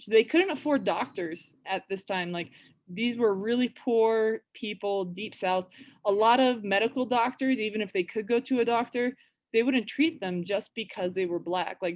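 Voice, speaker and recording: A woman with a West Coast American accent telling and reading a story to language learners in a casual, chatty way, with many fillers and restarts. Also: mid-range voice. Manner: reads book passages slowly and clearly. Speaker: teacher reading a children's book. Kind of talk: storytelling lesson for English learners.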